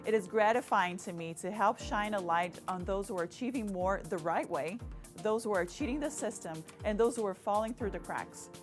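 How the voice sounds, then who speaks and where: Brazilian-accented woman reading a promo. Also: medium pitch. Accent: Brazilian Portuguese. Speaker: newspaper editor. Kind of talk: scripted promotional spot.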